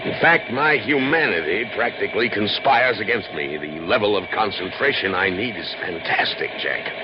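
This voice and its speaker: A man's voice: on the low side, lively intonation, moderate pace, over music, close to an everyday voice. An actor playing a driven, exasperated male scientist of the future in an old-time radio drama, brooding and speechifying. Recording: noisy, muffled old radio broadcast.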